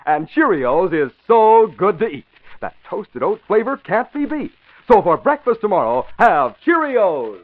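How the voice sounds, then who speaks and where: A man's voice: high voice, sing-song, a little slow, slight background noise. An American man announcing and narrating in 1950s radio style, with polished, emphatic salesmanship. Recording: dull vintage radio broadcast.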